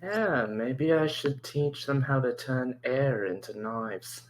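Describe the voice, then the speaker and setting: A man's voice: mid-range voice, slow pace, noisy background. A man improvising as a player in a tabletop roleplaying game.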